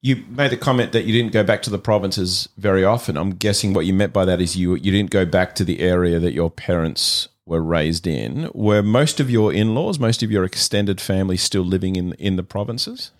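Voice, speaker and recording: A man's voice: deep voice, moderate pace, hardly any pauses. Hesitant man hosting a church podcast. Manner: hesitant, restarts questions. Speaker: podcast host.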